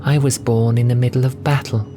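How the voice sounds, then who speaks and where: Gentle feline novice nun, acted by a man, soft wistful storyteller, pitched up, music underneath. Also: steady tone, fairly quick, close to an everyday voice. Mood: rueful, bitter. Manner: soft, wistful, faintly bitter, earnest storytelling. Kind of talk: audio drama storytelling.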